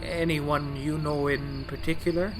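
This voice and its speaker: A man's voice: on the high side, level tone, a little slow. A man playing a timid, polite talking engine who hesitates and stammers, in an audio drama. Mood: nervous. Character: nervous, timid, polite young male engine. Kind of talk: audio drama character acting. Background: music, night insects.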